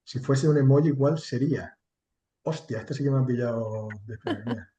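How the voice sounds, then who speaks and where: A man's voice: medium pitch, normal speed, dark tone, reverberant. A man from Extremadura with an accent from Spain, chatting candidly and colloquially as an interview guest, with some mild swearing. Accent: Spanish from Spain. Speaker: interview guest, chatbot marketing entrepreneur. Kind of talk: casual interview chat.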